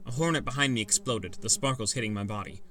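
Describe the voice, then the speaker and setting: A man's voice: fairly low pitch, fast talker, background noise. A man narrating a first-person fantasy adventure story in a scripted, dramatic audiobook style.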